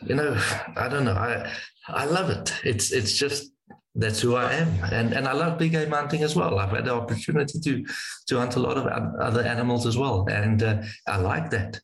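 A man from South Africa, a native Afrikaans speaker with a South African accent, telling stories in a casual interview, halting and repeating words as he goes. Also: mid-range voice, gravelly, roomy sound, rough recording. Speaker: wingshooting guide.

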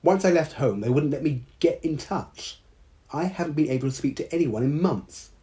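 A young mermaid woman, high and fretful, her voice climbing as she pleads, as a male narrator puts her on. The tone stays soft and mellow. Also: expressive, normal speed, close to an everyday voice. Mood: distraught. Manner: emotional, pleading. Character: young female mermaid, anxious and excitable.

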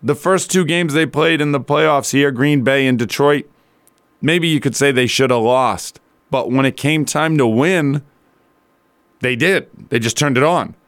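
Man, sports talk radio host, mid-range pitch, slightly strained rough voice, casual rambling delivery, colloquial, dry studio sound. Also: unhurried, a bit bright, no echo. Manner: colloquial, rambling, conversational asides.

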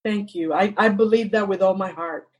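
Older woman from Cuba, Spanish native; husky poet guest, earnest and measured. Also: medium pitch, darkish tone, lo-fi sound. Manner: earnest, measured recitation with repeated refrains. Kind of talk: interview and poetry reading.

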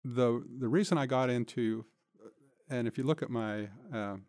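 A man's voice: mid-range voice, moderate pace, a little mellow, smooth, no echo. An older man answering interview questions as a guest in a conversational, reflective and plain-spoken way, recorded in a studio. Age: older, nearing retirement. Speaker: interview guest, city manager.

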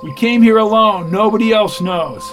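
A man voicing a hot-headed, desperate captive in an audiobook, yelling bluntly and emphatically. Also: high voice, measured pace, faint music behind, pitched above the performer's own voice. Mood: defiant. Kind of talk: dramatic audiobook dialogue.

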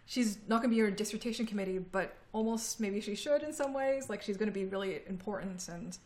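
A woman's voice: mid-range voice, a little fast, darkish tone. A woman in a casual conversation, speaking reflectively and supportively as a fellow graduate student.

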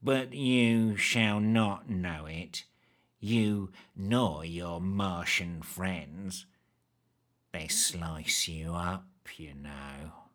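A man voicing a hunched, emaciated ratlike man who drawls in a monotone, in a storybook reading. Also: low-pitched, very slow, pitched below the performer's own voice, exaggerated voice. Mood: menacing.

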